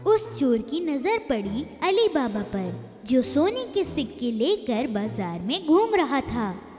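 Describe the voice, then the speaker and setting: A woman tells a children's moral tale in a high, animated voice, taking her time over each line. Her narration carries a long echo, with faint music underneath. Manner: slow, expressive storybook reading. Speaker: storyteller.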